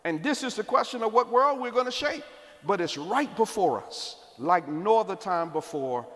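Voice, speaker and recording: A man's voice: sits high, animated, moderate pace, fairly bright tone. A man on a panel delivering a passionate, emphatic closing statement. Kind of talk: rousing speech. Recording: long hall reverb.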